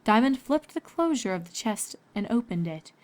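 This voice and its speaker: A woman's voice: fairly high pitch, very animated, normal speed, darkish tone. A woman reading scripted story narration as the narrator of a fan fiction.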